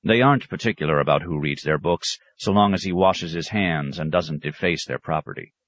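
A man's voice: deep voice, a little fast, a bit bright, dry recording. A man reading aloud, voicing a haughty, irritable male scholar who talks stiffly and pompously. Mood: indignant.

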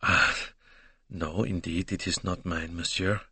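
A man voicing a timid, motherly middle-aged German maid who answers in a hushed, deferential, hesitant way in an audiobook. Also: lowish voice, steady tone, slow pace, dry recording. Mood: subdued.

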